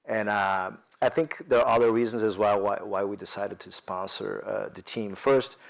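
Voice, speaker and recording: A man from Brazil with a Brazilian accent, speaking as a panelist in a business discussion, animated and full of fillers and restarts. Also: lowish voice, moderate pace, vocal fry. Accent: Brazilian Portuguese.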